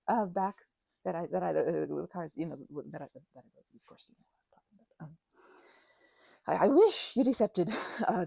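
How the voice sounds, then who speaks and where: A woman playing a timid, breathy, stammering vampire. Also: mid-range voice, dry recording, heavily acted. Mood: flustered. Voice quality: soft, breathy, sometimes dropping to a whisper. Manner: nervous stammering, hesitant, apologetic, breaking into nervous giggles. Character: timid, grateful, gentle female vampire, shy and friendly. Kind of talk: audio roleplay acting, intimate one-sided conversation.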